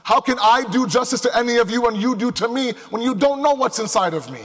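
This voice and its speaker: High-voiced male preacher in a reverberant hall. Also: fast talker, fairly bright tone. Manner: emphatic, with rhetorical questions and repetition. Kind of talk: sermon to a live congregation. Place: large indoor hall before a crowd. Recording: echoey hall with long reverb.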